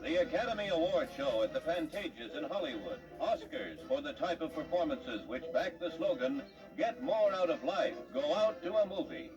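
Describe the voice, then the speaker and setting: Dramatic 1950s American newsreel man over loud music. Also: a little high, expressive, normal speed, recorded from a distance, highs cut off. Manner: dramatic, declamatory announcer delivery. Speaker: newsreel narrator. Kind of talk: 1950s newsreel scripted narration.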